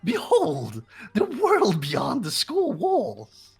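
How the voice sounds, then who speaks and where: A man voicing a gleeful, sadistic mascot villain for a game, with theatrical, drawn-out taunts. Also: high-pitched, wildly swooping pitch, creaky, a little mellow, breathy edge, pitched above the performer's own voice, full character voice. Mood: gleeful menace.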